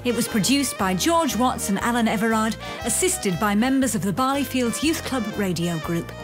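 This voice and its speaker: A woman's voice: a little high, lively intonation, normal speed. A woman reading a scripted credits announcement as the announcer of a radio play. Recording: music under the voice.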